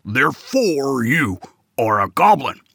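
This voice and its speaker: A man's voice: medium pitch, big pitch swings, takes it slowly, creaky, fairly bright tone, husky, no room sound, studio quality, voice pushed up, heavily acted. A man voicing a large, stubborn guard in a children's story, pompous and bellowing. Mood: stern. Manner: pompous, bellowing, rigid logic. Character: big stubborn guard in red armor, booming.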